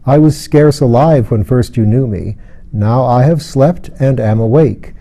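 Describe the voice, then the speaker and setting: Storybook patriarch: solemn, calm, authoritative father, grave measured phrasing, very dark soft tone, acted by a male narrator. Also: medium pitch, close to an everyday voice. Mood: solemn, assured. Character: Adam, solemn ancient father, calm, authoritative, reassuring man.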